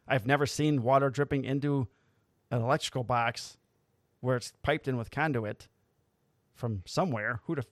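Cop and podcast host, middle-pitched, blunt storyteller who swears freely. Dry, close-miked. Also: male voice, moderate pace, long pauses, no echo. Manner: blunt, profane, wry. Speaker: police officer and podcast host. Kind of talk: casual storytelling.

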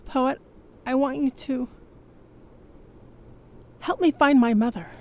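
A woman's voice: high-pitched, slow, a little mellow, slight background noise. A woman playing an earnest young debutante in a 1930s radio drama. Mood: earnest, pleading. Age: young adult.

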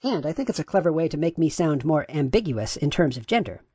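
A man reading the lines of a courteous, gender-neutral AI chatbot, with his voice pitch-shifted upward. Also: big pitch swings, quick pace, warm tone, husky, dry recording, clean studio sound. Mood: polite, agreeable. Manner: courteous, affirming, asks follow-up questions. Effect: pitch raised.

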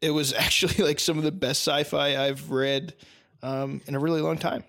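A man's voice: a little high, little pitch movement, normal speed, creaky, fairly bright tone, dry recording, clean studio sound. A young man co-hosting a casual podcast, rambling a little with many ums and uhs. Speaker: podcast co-host. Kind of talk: casual chat.

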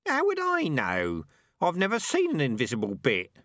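A man's voice: medium pitch, big pitch swings, unhurried, a bit bright, dry recording, clean studio sound, pitched above the performer's own voice. A man voicing a blunt, skeptical rat in an audiobook, with a grumbling, colloquial manner. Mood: skeptical, irritated.

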